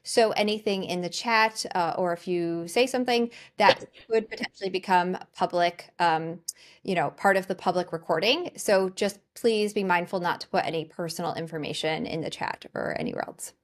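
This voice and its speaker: A woman's voice: fairly low pitch, normal speed. A middle-aged American woman presenting as the host of a webinar. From United States. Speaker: webinar host, financial planner.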